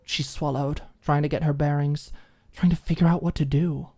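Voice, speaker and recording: A woman reading scripted fiction as an expressive audiobook narrator. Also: low-pitched, normal speed.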